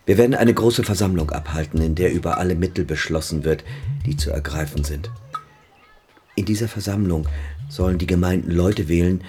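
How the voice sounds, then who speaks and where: An actor playing a grave, authoritative Armenian village priest in a radio drama, with solemn, formal delivery. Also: male voice, low-pitched, a little fast, mellow, a little hiss or hum, close to an everyday voice. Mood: grave, resolute.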